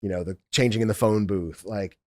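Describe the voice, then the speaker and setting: A man's voice: lowish voice, steady tone, brisk, warm tone, no echo. Enthusiastic man in a close, dry recording. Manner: enthusiastic, emphatic, repeats intensifiers. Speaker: audiobook producer in a discussion. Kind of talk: casual chat about favorite comics and films.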